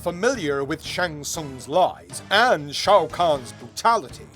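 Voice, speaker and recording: A man's voice: on the high side, lively intonation, slow, a bit bright, faint music behind. A man reading a theatrical scripted narration.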